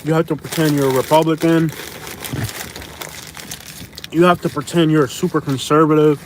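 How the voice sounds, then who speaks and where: A man's voice: on the high side, steady tone, normal speed, a little hiss or hum, low-quality recording. Rambling young man chatting on a live stream. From Florida. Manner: rambling, repeats himself and restarts, says "bro". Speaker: live-stream host answering viewer questions. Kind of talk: casual live Q&A chat.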